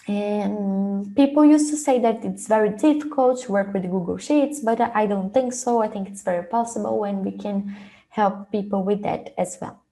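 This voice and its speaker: A young adult woman from Brazil, a native Portuguese speaker with a Brazilian accent, presenting an informal online webinar with hesitant, halting phrasing. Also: medium pitch, warm tone. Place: small indoor room, video call.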